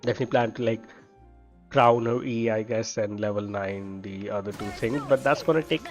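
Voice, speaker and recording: A man giving casual, low-key live gameplay commentary as a streamer, rambling along as he talks. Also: a little fast. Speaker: game streamer. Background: game music.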